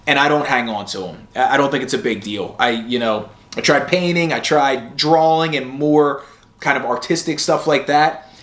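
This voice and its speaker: A man hosting a motivational vlog, talking casually in a rambling, blunt, informal way and swearing freely. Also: a little high. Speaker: host of a sobriety motivation video series. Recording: roomy, echoey sound.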